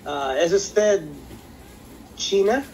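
Man, language student, careful, hesitant, slow, video call, faint noise. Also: high voice, animated, darkish tone, rough recording. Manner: hesitant, careful, self-correcting. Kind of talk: practicing phrases in a class.

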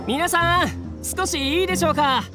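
A man's voice: very high voice, normal speed, music in the background. An actor playing a courteous, eager young man who organizes events in an anime game, speaking formally and earnestly. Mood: welcoming. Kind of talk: Japanese anime game acting.

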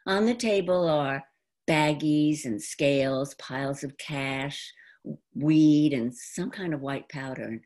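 Older woman, lower-pitched voice, very unhurried pacing, expressive theatrical storytelling, deliberate dramatic delivery. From New York, United States. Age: older, around 70. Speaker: stage actress performing an autobiographical monologue. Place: indoor room at home, before a folding screen. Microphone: computer video call microphone.

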